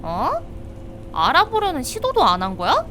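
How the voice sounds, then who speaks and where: A woman acting a teasing, bossy young investigator in a visual novel, expressive and quick to scold, with rain and insects behind her. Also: high voice, a little hiss or hum, heavily acted. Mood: teasing, nosy.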